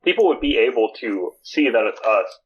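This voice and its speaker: A man co-hosting a podcast, chatting casually and thinking out loud. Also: mid-range voice, sing-song, moderate pace, lo-fi sound, slight background noise. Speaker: podcast co-host. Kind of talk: casual chat.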